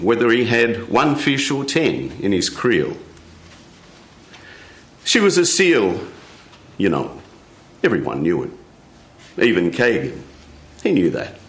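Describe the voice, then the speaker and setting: A man telling a folk tale live in a measured way, with dramatic pauses. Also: mid-range voice, reverberant. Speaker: storyteller. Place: radio studio with live audience.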